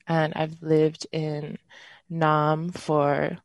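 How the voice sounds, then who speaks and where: A twenty-eight-year-old radio host with an Australian accent, leading a community panel in an earnest, relaxed way. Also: medium pitch, extremely slow, vocal fry, no echo. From Western Australia. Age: 20s. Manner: earnest, relaxed, with fillers and small laughs.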